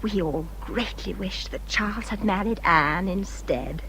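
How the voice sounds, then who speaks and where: A woman playing a high-spirited, headstrong young English gentlewoman in a period radio drama, emphatic and girlish in manner. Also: measured pace, a little hiss or hum, low-quality recording. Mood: confiding.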